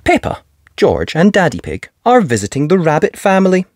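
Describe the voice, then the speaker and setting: A woman narrating a children's picture book as an expressive storyteller. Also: lowish voice, normal speed, no echo, studio quality. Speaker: storyteller, channel host.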